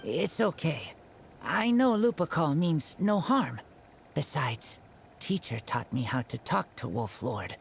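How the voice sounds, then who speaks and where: A man voicing an earnest teenage boy hunter raised by wolves in a video game, speaking in halting, terse broken phrases. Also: a little high, big pitch swings, creaky, a little hiss or hum, heavily acted. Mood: halting, sincere. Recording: game music behind.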